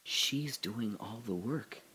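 An actor playing a dying older father, telling his memories in a halting, breathy dramatic monologue that drops to whispers. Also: on the low side, expressive, bright, forceful tone, slight background noise, low-quality recording. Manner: halting, breathy, emotional, many pauses and restarts. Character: dying old father recalling his daughter's birth.

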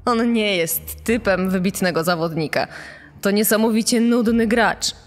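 A dismissive female TV production manager, acted by a woman in a podcast dramatisation. Her mid-pitched voice carries long reverb, with music behind it. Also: a little slow, natural voice. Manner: critical, dismissive.

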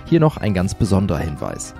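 A man reading a scripted promotional announcement in a smooth, upbeat voice. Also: sits low, huge pitch swings, fairly quick, mellow, faint music behind.

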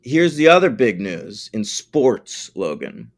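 Casual male podcast co-host, mid-pitched, lively and foul-mouthed, easygoing banter. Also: slow pace. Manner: animated, profane, joking.

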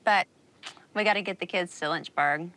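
A woman's voice: fairly low pitch, normal speed. A woman chatting casually on a reality show, upbeat and encouraging. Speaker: reality show cast member. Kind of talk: casual chat.

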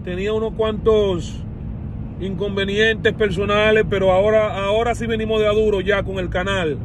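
A man in his thirties with a Dominican accent, delivering a heated political rant to his phone camera from inside a car. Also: sits high. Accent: Dominican Spanish. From Dominican Republic. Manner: heated, rhetorical questions, blunt. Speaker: vlogger. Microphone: phone at arm's length. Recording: phone audio with clear background noise.

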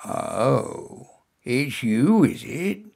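A man doing a quiet, firm store guard. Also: medium pitch, big pitch swings, extremely slow, vocal fry, exaggerated voice. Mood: quietly suspicious. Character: gentle but stern male store security guard.

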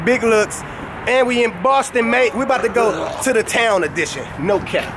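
A man's voice: very high voice, moderate pace. A young man vlogging casually with friends on a handheld camera, boastful and full of slang. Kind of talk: casual vlog banter with friends. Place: out and about on streets and in a bowling alley. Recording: noisy crowd and street ambience.

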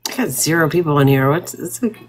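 A middle-aged woman joins as co-host over a video call from her home. Her voice is low for a woman, and she speaks in brief, casual remarks. The call gives her sound a slightly distant quality. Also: expressive, brisk. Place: home room.

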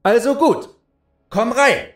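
A man's voice: very high-pitched, slow, fairly bright tone, soft background music, voice pushed up, heavily acted. A man acting a frightened, urgent survivor, raised voice. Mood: shouting, desperate. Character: frightened male survivor fleeing a monster.

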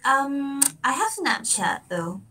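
Soft-spoken Thai woman, lively pitch. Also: slow. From Thailand. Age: 20s. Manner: soft, brief replies, giggly. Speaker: stranger on video chat. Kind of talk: casual chat. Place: room at home, indoors. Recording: echoey room, far from mic.

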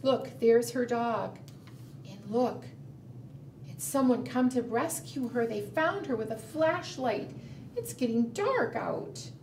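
A middle-aged woman telling a picture-book story to preschoolers in simple, expressive language, often asking the listeners questions. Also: fairly high pitch, takes it slowly. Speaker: children's librarian and storytime host. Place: indoor room, book shown to camera. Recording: roomy, distant mic, background noise.

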